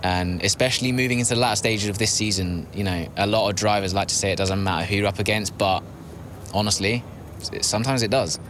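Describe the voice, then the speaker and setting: An actor playing a guarded, self-critical young racing driver, hesitant and measured, with faint vehicle noise behind. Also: male voice, fairly low pitch, a bit bright, lightly acted. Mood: guarded, reflective. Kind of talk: naturalistic game acting of a media interview.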